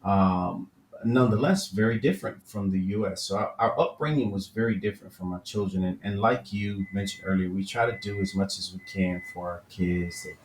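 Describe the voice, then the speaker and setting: A man from Florida with a lowish voice hosts a conversational interview. He feels his way through questions and circles back to restart them. The recording sounds roomy, with a faint hiss of ambient noise underneath. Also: fairly even intonation, brisk. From Florida, USA. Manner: thoughtful, searching questions with frequent restarts. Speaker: podcast host. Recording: echoey room with faint background noise.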